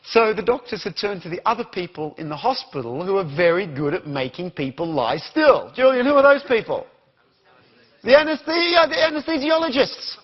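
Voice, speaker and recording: Australian male keynote speaker, husky, higher-pitched, dull lo-fi capture. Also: moderate pace. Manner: animated, questions the audience. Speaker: conference speaker. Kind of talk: interactive keynote talk.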